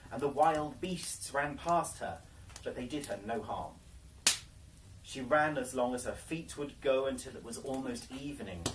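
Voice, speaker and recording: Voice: mid-range voice, distant mic, slight background noise, rough recording. A storyteller narrating a fairy tale in a dramatic, theatrical storybook style.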